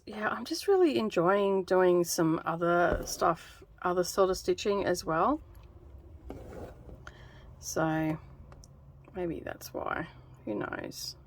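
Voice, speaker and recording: A woman chatting in a relaxed, rambling way while she stitches for her craft vlog. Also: mid-range voice, slight background noise. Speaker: craft vlogger. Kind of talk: casual chat while stitching.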